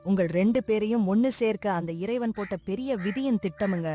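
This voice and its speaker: A woman's voice: mid-range voice, a little fast, warm tone, faint music behind, close to an everyday voice. A woman playing a kindly, devout sister-in-law in a dramatised moral story. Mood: assuring.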